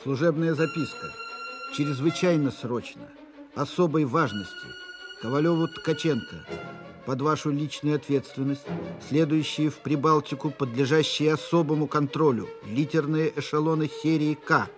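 An actor playing an official who reads out urgent military dispatches in a formal, clipped and emphatic way for an audio drama. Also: male voice, a little high, normal speed, music in the background, close to an everyday voice. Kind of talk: formal document reading in a Russian audio play.

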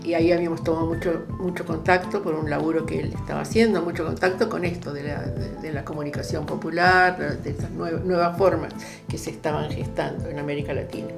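An older Argentine woman recalls her past in an interview. Her voice sits low, and she pauses to search for words while guitar music plays clearly behind her. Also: steady tone. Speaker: interviewee, popular radio producer. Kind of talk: reminiscing interview talk.

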